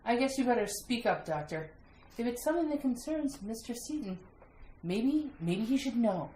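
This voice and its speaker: Woman as a steady sheriff, echoey room. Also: a little high, natural voice. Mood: grave, steady. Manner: folksy, measured, matter-of-fact. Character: steady, skeptical small-town sheriff. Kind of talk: retro radio mystery acting. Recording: roomy sound with faint noise.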